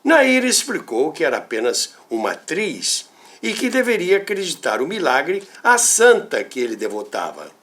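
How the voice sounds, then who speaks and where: A man with a Brazilian Portuguese accent narrating scripted stories in a theatrical, deliberate way as a show host. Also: on the high side, wildly swooping pitch, fairly bright tone. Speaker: host and storyteller of a radio history show.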